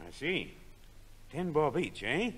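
A tight-fisted, blustering old Scottish grandad for vintage radio comedy. He is high-pitched and swoops widely as he rants. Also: big pitch swings, takes it slowly, fairly bright tone, husky, full character voice. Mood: grudging, blustering. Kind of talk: 1960s radio sitcom acting.

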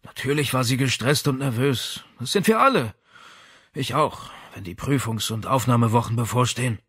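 A man's voice: mid-range voice, animated, moderate pace, fairly bright tone, husky, no echo. Weary, defensive professor, read by a man, dry sound. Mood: stressed. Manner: tired, irritable. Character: big, weary, defensive male music professor.

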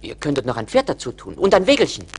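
Swooping, eager young simpleton. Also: male voice, fairly high pitch, wildly swooping pitch, fast, breathy edge, full character voice. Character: naive, eager young charcoal burner, foolish, boastful. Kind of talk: 1980s German radio play acting.

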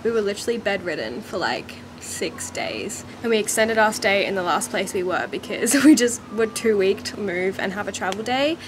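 A woman in her twenties with an Australian accent and a slightly raspy voice, chatting casually to camera as a travel vlogger. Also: medium pitch, animated, normal speed, hardly any pauses, background noise. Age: 20s. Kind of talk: casual vlog chat to camera. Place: holiday apartment balcony, kitchen and seaside terrace.

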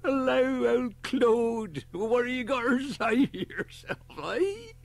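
A man's voice: very high-pitched, expressive, takes it slowly, gravelly, no room sound, voice pushed up, full character voice. An actor voicing a tipsy man fondly coaxing his pet pigeons in an audiobook, his voice lifted. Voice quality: voice lifted far above the reader's own. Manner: coaxing, affectionate.

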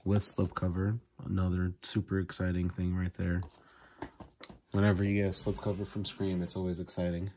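Low-voiced man unboxing horror discs. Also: little pitch movement, normal speed, mellow, a little hiss or hum. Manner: enthusiastic, frequent fillers. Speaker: video host. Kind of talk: casual unboxing vlog. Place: indoors at a table, off camera.

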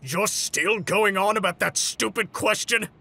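A man's voice: sits high, unhurried, vocal fry, pushed and bright, full character voice. A man voicing a big, middle-aged hero in a comic dub, exasperated and theatrically emphatic. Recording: music behind.

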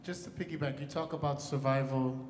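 A man moderating a panel discussion, asking even, measured questions. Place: large hall on stage. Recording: echoey hall with faint rumble.

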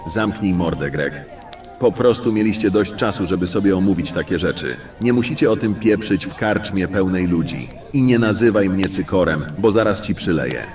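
Man acting a very deep, surly fantasy tough. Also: lively intonation, moderate pace, a little mellow, distant mic, soft background music. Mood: irritated. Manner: gruff, curt, surly. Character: irritable, nervous, threatening male fantasy tough guy. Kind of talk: fantasy audio drama acting.

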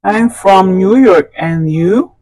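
A synthetic man voicing a friendly young man chatting in a café, each word carefully spelled out. He talks slowly in a mellow, relaxed tone. The delivery is dry and close to the mic. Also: high-pitched, no echo, rough recording. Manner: plain, careful textbook phrasing. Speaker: dialogue partner in an English practice conversation. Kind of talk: slow, clearly enunciated scripted dialogue.

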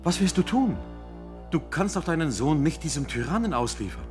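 A man's voice: on the high side, very animated, moderate pace, over music. An actor playing an urgent, protective eldest son, a young man in a biblical radio play. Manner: urgent, agitated. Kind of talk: German radio play acting.

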